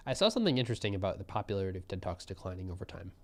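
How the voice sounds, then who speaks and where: A young man giving relaxed, musing live commentary on a programming contest, often trailing off mid-thought. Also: low voice, racing.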